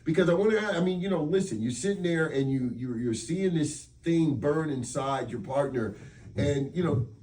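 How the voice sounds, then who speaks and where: A man's voice: on the high side, lively intonation, normal speed, a little mellow, recorded from a distance. A man hosting a radio interview in a casual, chummy, rambling conversational way. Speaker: radio show host.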